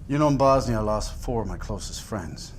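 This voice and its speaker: A man's voice: fairly low pitch, animated, brisk, slight background noise, natural voice. A man acting a bitter, aggressive interrogator in a video game, confrontational and sardonic. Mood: bitter, needling. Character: bitter, aggressive male interrogator, accusatory and volatile.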